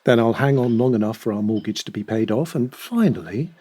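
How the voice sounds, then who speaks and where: An actor playing a middle-aged schemer and adulterer in an audio drama, self-assured and measured. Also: male voice, mid-range voice, lively intonation, mellow, breathy edge. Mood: casual, calculating. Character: smooth-talking calculating middle-aged adulterer and sponger.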